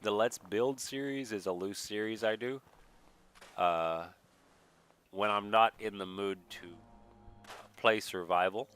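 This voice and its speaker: Slightly nasal man, bright and low. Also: clear, resonant tone. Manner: rambling, unhurried, thinking aloud. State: mild sniffle from a recent cold. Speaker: gaming video creator, player. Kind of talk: casual let's play commentary.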